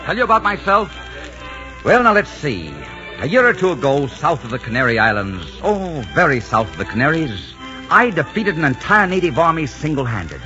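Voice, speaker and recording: An actor playing a dashing, boastful pirate captain in a 1940s radio drama, spinning tall tales and turning tender and dreamy. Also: male voice, on the high side, sing-song, moderate pace, bright, forceful tone. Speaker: pirate leader. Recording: muffled old radio broadcast with music.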